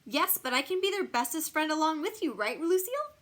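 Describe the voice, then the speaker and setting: A woman voicing a bossy, excitable six-year-old girl telling her own story in a storybook reading, with childish exclamations and quirky grammar. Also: sits high, brisk, voice pushed up. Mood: pleading. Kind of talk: animated children's storybook character voice.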